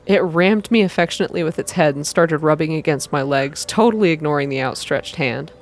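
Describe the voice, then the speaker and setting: A woman reading a story aloud in an ASMR style, with expressive, deliberate first-person narration. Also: low voice, slight background noise. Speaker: reader of a visual novel. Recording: soft music behind the voice. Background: background music.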